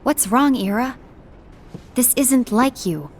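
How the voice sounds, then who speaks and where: An actress playing a composed, diplomatic young woman in a fantasy game, speaking formally and with measured politeness. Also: fairly high pitch, expressive, a little hiss or hum. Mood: concerned. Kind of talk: scripted game cinematic acting.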